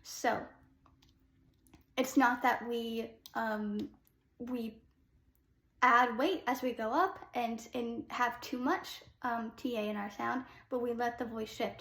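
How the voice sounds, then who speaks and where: A young woman who sings soprano, teaching a casual singing lesson. She explains with short sung demos and fills pauses with "um.". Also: fairly high pitch, creaky, fairly bright tone, echoey room. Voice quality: soprano speaking voice.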